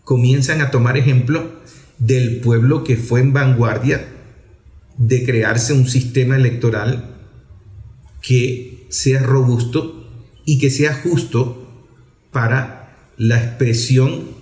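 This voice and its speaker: A man with a Venezuelan accent giving political commentary in an emphatic, rhetorical way, pausing deliberately between phrases. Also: mid-range voice, steady tone, darkish tone. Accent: Venezuelan Spanish. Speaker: political commentator on an online program. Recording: echoey, reverberant room.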